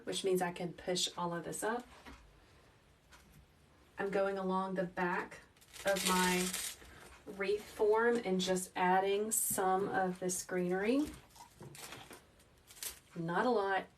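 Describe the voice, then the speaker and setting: A woman in her thirties talks through a live craft tutorial in a calm, soft, teacherly voice, chatting with her viewers. She stands in a small indoor workroom, a little back from the phone mic. A faint background noise runs under her voice. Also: medium pitch, takes it slowly, pauses often, far from the mic. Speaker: wreath-making livestream host.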